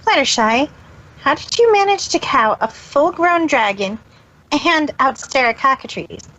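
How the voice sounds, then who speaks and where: A woman's voice: sits high, sing-song, unhurried, fairly bright tone, full character voice. A woman voicing a proud, flustered female pony magician in a fan fiction audio reading. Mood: awkward, curious. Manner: boastful third-person self-reference, nervous. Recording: dull lo-fi recording.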